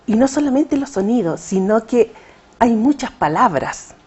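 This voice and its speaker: A woman's voice: on the high side, lively intonation, measured pace, warm tone, breathy edge, highs cut off. A Chilean woman, a poet, answering interview questions in a hesitant conversational way with frequent fillers. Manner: hesitant, many fillers and restarts. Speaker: poet and writer, interview guest.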